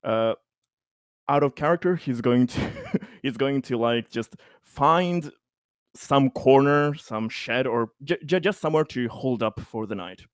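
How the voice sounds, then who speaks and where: A man with a medium-pitched voice improvises tabletop roleplay in a casual tone. He speaks haltingly, stammering and restarting as he narrates what his character does. The sound is dry and close. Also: clear, resonant tone, no echo. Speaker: tabletop RPG player.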